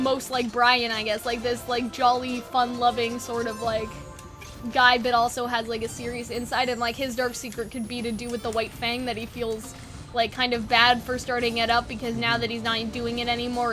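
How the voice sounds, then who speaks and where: A woman's voice: on the high side, steady tone, fairly quick, bright, forceful tone, soft background music. A woman chatting casually and informally, thinking aloud like a video creator brainstorming with fans. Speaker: online video creator and host. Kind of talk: casual fan brainstorming chat.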